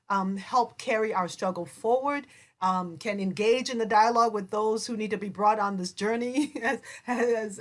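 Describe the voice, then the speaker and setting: A woman chairs a panel in a mid-pitched voice. Her phrasing is deliberate and halting as she builds toward her questions. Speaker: panel moderator. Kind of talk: moderating a panel discussion.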